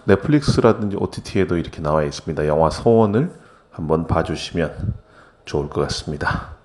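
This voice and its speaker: A middle-aged man walks through a film's story in a relaxed, conversational way. His voice sits very low, and he often pauses on hesitant fillers as he goes. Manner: unhurried, frequent hesitation fillers. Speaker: film narrator and actor. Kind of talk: casual spoken film recap.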